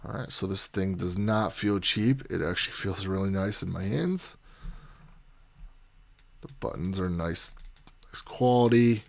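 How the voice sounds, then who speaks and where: Low, creaky-voiced man hosting an unboxing. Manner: informal, conversational, explaining step by step. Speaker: video host, product unboxer. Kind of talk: casual unboxing commentary.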